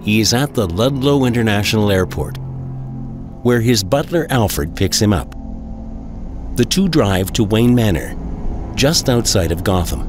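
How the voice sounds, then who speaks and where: Guy narrating an audio drama, lowish voice that moves around a lot, loud music underneath. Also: animated, a little slow, long pauses. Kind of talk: scripted dramatic story narration. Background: prominent music.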